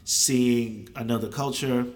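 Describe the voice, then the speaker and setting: A man's voice: mid-range voice, fairly even intonation, takes it slowly. Nasal, stuffed-up man chatting casually. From United States. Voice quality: a little nasal. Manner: chatty, joking, laughs often. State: stuffed up with a slight cold. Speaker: guest. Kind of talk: casual chat.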